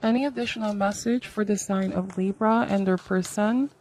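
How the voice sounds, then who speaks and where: A woman's voice: medium pitch, fairly even intonation, moderate pace, a little mellow, a little hiss or hum. A woman hosting a conversational tarot reading, speaking to the viewer in a reflective, advisory way and often checking in with short tags. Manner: reflective, advisory, seeks agreement with tags like okay and right. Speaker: tarot reader, host.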